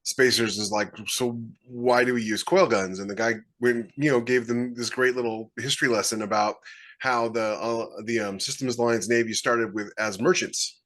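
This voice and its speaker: A man's voice: medium pitch, steady tone, moderate pace, fairly bright tone. A man explaining things casually as a podcast co-host on a video call, often circling back to restart phrases. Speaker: podcast co-host, science fiction author.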